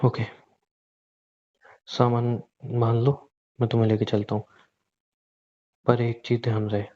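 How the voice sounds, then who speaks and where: A man's voice: mid-range voice, completely flat delivery, a little slow, mellow, lo-fi sound, natural voice. A man playing a weary, guilt-ridden young husband in a naturalistic relationship drama, earnest and pleading, often repeating himself. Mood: apologetic, cautious. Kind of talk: naturalistic Hindi relationship audio drama, staged as a private conversation.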